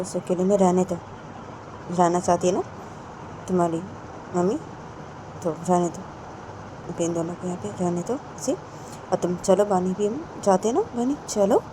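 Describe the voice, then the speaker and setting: A woman voicing a gentle father who quietly reassures his crying wife and urges his daughter to step out. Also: on the low side, background noise, close to an everyday voice. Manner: soft, reassuring, repetitive.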